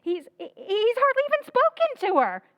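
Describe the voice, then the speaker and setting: Radio drama: woman doing a proud, demanding elder sister, high, lively pitch. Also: moderate pace, dry recording, highs cut off, heavily acted. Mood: indignant. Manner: indignant, demanding, emotional. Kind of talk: old-time radio drama recreation.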